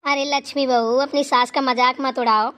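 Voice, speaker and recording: A woman's voice: high voice, steady tone, normal speed, a bit bright. A woman voicing an elderly mother-in-law who rambles through stories and scolds playfully. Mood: chatty. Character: elderly mother-in-law, chatty, teasing, fond.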